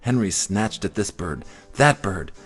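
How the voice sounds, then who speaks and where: A man narrating a children's story in an expressive, theatrical way. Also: on the low side, unhurried. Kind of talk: dramatic children's story reading.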